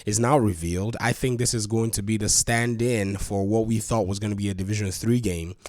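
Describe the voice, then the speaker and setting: Congested, low-voiced man talking games. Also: steady tone, brisk, a little mellow, dry recording, clean studio sound. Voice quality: boxy, stuffy. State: congested, recovering from allergies. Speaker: gaming channel host. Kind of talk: casual opinionated gaming commentary.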